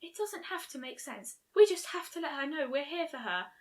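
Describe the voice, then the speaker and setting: A woman voicing a timid, caring young female pegasus pony in a cartoon fan story, with a squeaky, gentle, hesitant voice. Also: very animated, normal speed. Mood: earnest encouragement. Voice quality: squeaky, breathy-soft and high.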